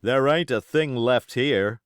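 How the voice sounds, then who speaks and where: A man voicing a bold, curious pirate sailor with rough dialect in an audiobook. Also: medium pitch, slow, no echo, studio quality, pitched above the performer's own voice, natural voice. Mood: suspicious. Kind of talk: audiobook character voice.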